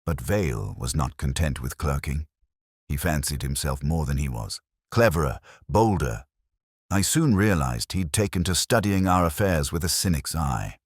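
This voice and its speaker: A male narrator plays a weary old magistrate from a detective story, burdened with guilt. The voice is very low yet lifts and falls markedly, confessing in halting, formal phrases. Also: animated, slightly rough, dry recording, studio quality, exaggerated voice. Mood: bitter, subdued.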